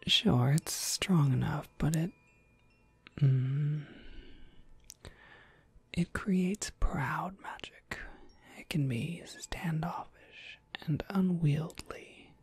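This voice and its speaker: A woman playing a gentle, attentive witch shopkeeper in a whispered ASMR roleplay, breathy, polite and softly reassuring. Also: sits low, no room sound, exaggerated voice.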